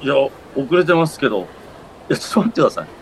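A man acting a fussy, exasperated straight-man friend with quick retorts in a comedic radio drama. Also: medium pitch, very animated, a little hiss or hum, rough recording. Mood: startled, baffled.

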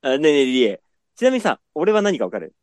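A man's voice: on the high side, big pitch swings, fast, no room sound, studio quality. A man acting in a comedic radio drama, teasing and bantering casually.

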